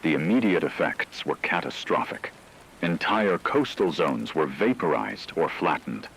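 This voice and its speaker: A man playing a grave wartime radio news anchor, reading a scripted emergency broadcast in a solemn, measured, formal way. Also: very deep voice, expressive, fairly bright tone, slight background noise, heavily acted, poor audio. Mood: grim, solemn.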